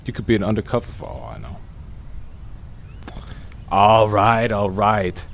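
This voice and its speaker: A man playing a wary, gruff retired crook who is suspicious of an old partner, in an audio drama. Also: lowish voice, lively intonation, a little slow, fairly bright tone, heavily acted. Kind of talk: amateur audio drama acting.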